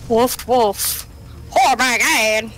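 A woman's voice: fairly high pitch, extremely slow, over music. A woman chatting casually and playfully while gaming, swearing and reading game text aloud. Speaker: gamer, co-player.

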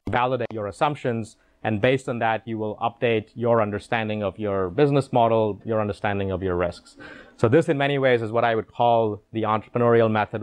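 A middle-aged man giving a conference keynote talk in a room with an audience, speaking into a handheld microphone, explaining ideas with frequent restarts and repeated words. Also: on the low side.

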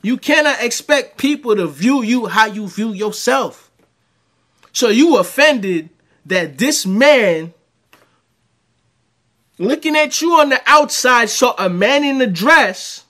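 Man, thirties, bright tense voice, lively swoops, slow deliberate delivery, reaction commentary. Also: very high voice. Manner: animated, rambling storyteller with crude jokes. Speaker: commentary host. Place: dim home room with green light.

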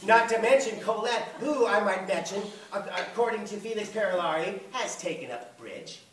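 A man's voice: sits high, lively intonation, normal speed, background noise. A man playing an aggrieved mother, echoey stage. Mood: haughty. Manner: martyred, indignant, dramatic. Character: aggrieved, self-pitying, imperious older mother of the groom. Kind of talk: comic stage monologue. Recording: echoey theatre, distant mic, audience laughter.

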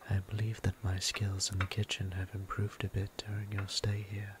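Man acting a hushed, low-key, gentle vampire priest. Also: monotone, close to an everyday voice. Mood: tender, courtly. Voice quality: breathy, hushed, half-whispered. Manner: formal, archaic, tender, unhurried phrasing. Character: gentle, courtly male vampire priest tending a patient. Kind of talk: ASMR roleplay, soft-spoken and whispered. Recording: clear background noise and ambience.

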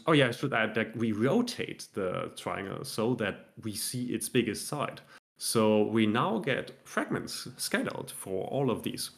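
Low gravelly-voiced man giving a casual tech talk. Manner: relaxed, self-deprecating, many fillers and restarts. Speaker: software developer presenting a talk. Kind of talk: casual technical meetup talk with live demo. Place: home room on a video call.

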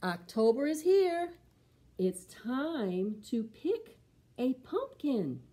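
A middle-aged woman hosting a preschool storytime, reading and talking to young children in an expressive, sing-song way. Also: high voice, drawn-out words. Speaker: library storytime host for preschoolers. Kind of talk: children's storytime, singing and reading a picture book aloud.

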